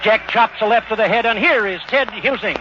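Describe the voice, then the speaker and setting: A high-voiced man gives clipped, rapid boxing commentary from ringside. It is an old 1930s radio broadcast, dull in tone with some background noise. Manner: clipped, running present-tense description. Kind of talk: 1930s live radio blow-by-blow sports commentary. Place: outdoor stadium ringside.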